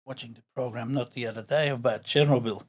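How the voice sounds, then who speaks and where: An older man in his sixties with a Belgian accent chats as an interview guest. His voice sits at an ordinary pitch, and he talks loosely, repeating words while he searches for them and laughing easily. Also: steady tone, fast, a little hiss or hum. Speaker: podcast guest. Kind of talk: casual interview chat.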